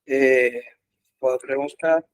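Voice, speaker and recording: Slow, halting male coding teacher. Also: medium pitch, fairly even intonation, clear, resonant tone. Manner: slow, halting explanation with many pauses and fillers. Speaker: programming instructor. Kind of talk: live online coding class. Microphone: computer mic in an online class.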